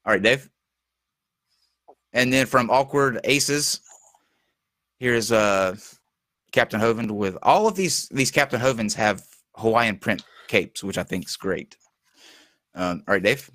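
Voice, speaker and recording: Low-voiced male host losing his voice. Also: lively intonation, fairly bright tone, dry recording. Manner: halting, many fillers and restarts. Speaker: show host. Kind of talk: casual live-stream talk show.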